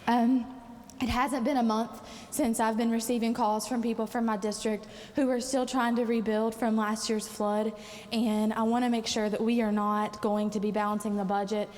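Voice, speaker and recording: A woman's voice: on the high side, level tone, normal speed, darkish tone. A woman legislator losing her voice, making an earnest, hesitant floor speech in a chamber. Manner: earnest, hesitant with many fillers. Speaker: state delegate. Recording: long hall reverb, faint ambience.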